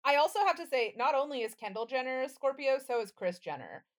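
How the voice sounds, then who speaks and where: Higher-voiced sardonic woman. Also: lively intonation, fast. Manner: sardonic, blunt, sweary. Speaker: podcast co-host. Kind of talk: casual comedic chat.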